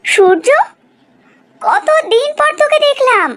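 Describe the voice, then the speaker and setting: A woman plays a middle-aged cartoon mother with an extremely high, swooping voice. She is bossy yet affectionate, and speaks in an emphatic, scolding, exaggerated way. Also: sing-song, slow pace, a bit bright, heavily acted. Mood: fond. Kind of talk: animated cartoon family drama dubbing.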